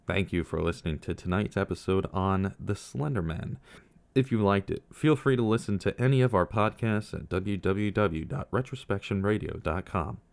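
A young man narrates his podcast from a script, thoughtful and earnest as he poses question after question. His voice sits fairly low for a man. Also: animated, moderate pace, vocal fry.

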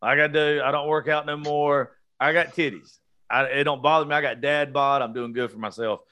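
A man's voice: fairly high pitch, fairly even intonation, fairly quick, bright, forceful tone. A man, hosting a casual interview chat in a blunt, joking, foul-mouthed way. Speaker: show host.

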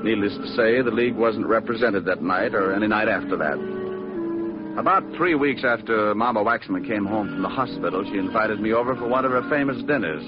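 An actor playing an easygoing, wry private detective, breezy and unflappable, in an old radio show. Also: male voice, lowish voice, fairly quick, over music, lightly acted. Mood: dry narration. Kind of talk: 1940s-50s radio acting and first-person narration. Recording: dull old-time radio recording.

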